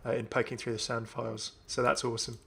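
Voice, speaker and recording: A man's voice: mid-range voice, flat delivery, fast, creaky, fairly bright tone, breathy edge. Enthusiastic young man commentating. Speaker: gaming video host. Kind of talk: casual first-look game commentary.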